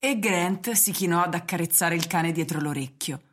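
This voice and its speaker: A woman's voice: fairly low pitch, normal speed. A woman narrator reading literary prose for a radio drama. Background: prominent music.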